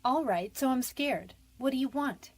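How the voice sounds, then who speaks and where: A scared young female convict played by a woman in a radio crime drama, speaking in a fairly high voice. She is defensive and slangy, putting up a tough front with a pleading edge underneath. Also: expressive, moderate pace. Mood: defiant. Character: frightened, defiant young woman convicted of manslaughter. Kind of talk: radio detective drama acting.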